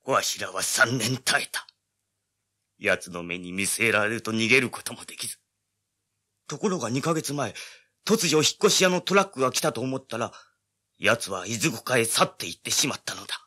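An actor playing an old village man in an audio drama, recounting dreadful events gravely in old-fashioned speech. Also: medium pitch, expressive, moderate pace, pushed and bright. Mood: grave, fearful.

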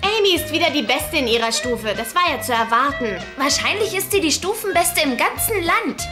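A woman's voice: high-pitched, animated, moderate pace, music in the background. A woman playing a catty, envious teenage schoolgirl in an audio drama. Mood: gossipy, disdainful. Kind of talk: scripted German audio drama acting.

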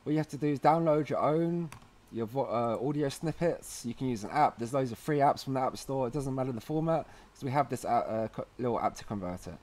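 A man's voice: mid-range voice, brisk, darkish tone. A man hosting a how-to video, talking informally and chattily as he explains things step by step. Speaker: host of a how-to video series. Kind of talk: casual tutorial narration.

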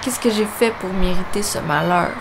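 A woman's voice: mid-range voice, moderate pace, background noise, close to an everyday voice. A woman with a Quebec accent playing a lovesick teenage girl, reciting her poetry as a solemn, melodramatic voice-over. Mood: despairing. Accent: Quebec French.

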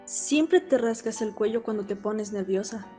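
A woman's voice: medium pitch, normal speed, mellow, faint music behind, natural voice. A woman playing a nosy, insistent young girl in an amateur comic fandub. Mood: curious.

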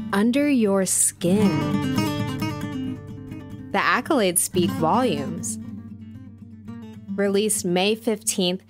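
A woman playing an earnest music show host, narrating a scripted audio documentary. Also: medium pitch. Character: female music podcast host, earnest storyteller.

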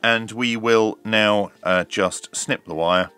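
A middle-aged man giving a measured, explanatory hands-on demonstration, like a reviewer talking through each step. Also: fairly low pitch, steady tone, bright, forceful tone, clean tone, no room sound. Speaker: radio reviewer.

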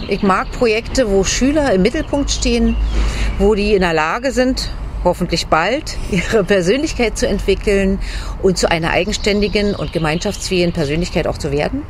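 A woman's voice: mid-range voice, a little hiss or hum. A middle-aged woman speaks earnestly as a school social worker, reflecting and now and then searching for her words. She talks outdoors into a handheld mic, with faint ambience behind her. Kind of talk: interview answers. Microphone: handheld mic held by interviewer.

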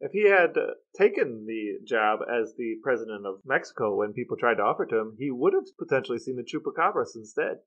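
A man's voice: medium pitch, very animated, brisk, hardly any pauses, a bit bright, muffled recording. A man co-hosting a podcast in casual chat, with a wry, joking manner. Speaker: podcast co-host.

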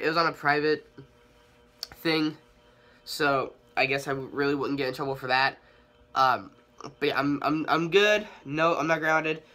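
A young man chatting casually to his viewers in a bright, forward voice that sits a little high. He sounds slightly nasal from a cold. He rambles and hesitates, with frequent ums and false starts. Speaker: vlogger. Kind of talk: casual update vlog.

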